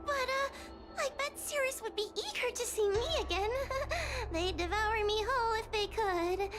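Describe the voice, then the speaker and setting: A woman's voice: very high voice, unhurried. A woman voicing a timid, nervous young girl exorcist in a game cutscene, hesitant and apologetic as she talks. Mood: uneasy. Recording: game cutscene with music behind.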